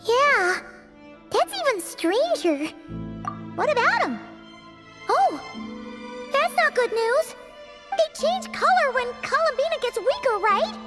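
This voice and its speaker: Tiny chatty game fairy: extremely high, childlike, very animated, music playing behind. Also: female voice, slow, pauses often, exaggerated voice. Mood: puzzled, worried. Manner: exclamatory, expressive. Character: small childlike floating fairy companion, excitable and chatty. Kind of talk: video game voice acting.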